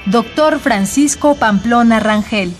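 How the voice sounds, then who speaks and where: A woman radio announcer reading credits and introductions in a formal, deliberate way. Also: a little high, soft background music. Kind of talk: scripted announcing.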